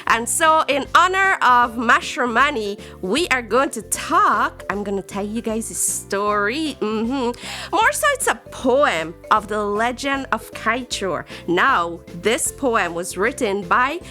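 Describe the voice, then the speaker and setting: A woman with a Guyanese accent hosting a children's storytime, speaking in a theatrical, exclamatory way with drawn-out emphasis. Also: on the high side, expressive, bright, forceful tone, soft background music.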